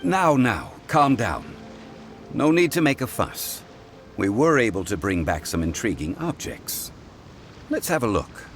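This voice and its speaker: A man voicing a calm, learned male scholar who speaks in a composed, measured way. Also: mid-range voice. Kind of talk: game voice acting. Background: game music.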